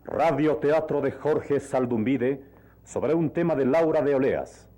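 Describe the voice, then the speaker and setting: A man's voice: mid-range voice, measured pace, warm tone. A man announcing a title in a declamatory old radio drama style.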